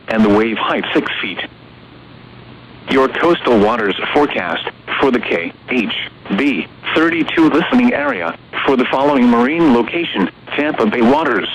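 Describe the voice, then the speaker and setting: A computer-synthesized male voice reading weather observations and a marine forecast in an even, steady text-to-speech delivery. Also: medium pitch. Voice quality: flat, evenly paced text-to-speech delivery. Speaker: automated weather broadcast voice. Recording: muffled radio receiver recording with faint hiss.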